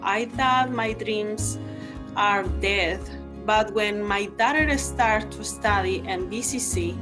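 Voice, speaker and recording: Very slow, halting Mexican woman. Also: mid-range voice. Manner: halting non-native English. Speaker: aspiring nurse and mother. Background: guitar music.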